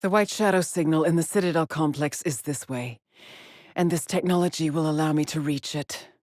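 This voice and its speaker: An actress playing a haunted, driven female astronaut in a video game, brooding and introspective in measured solitary log monologues. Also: low voice, darkish tone, dry recording, clean studio sound, close to an everyday voice. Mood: clinical, focused. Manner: slow, measured, brooding delivery with pauses.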